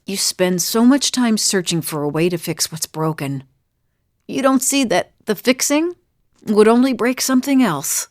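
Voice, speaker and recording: A woman's voice: a little high, no echo, studio quality. Wry, world-weary adventurer woman, closely recorded. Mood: gently resolved. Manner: wry, conversational, reflective. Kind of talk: video game character dialogue.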